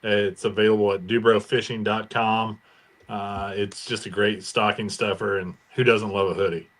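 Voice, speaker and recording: American dude, slightly low voice, laid out casual like a podcast guest chatting. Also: male voice, little pitch movement, moderate pace. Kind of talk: casual chat.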